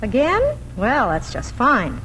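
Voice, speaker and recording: An actress playing a practical, affectionate farm mother with a homely, gently wry manner in 1940s radio acting style. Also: fairly high pitch, big pitch swings, takes it slowly, exaggerated voice. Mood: fond, wry. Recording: faintly noisy vintage radio recording.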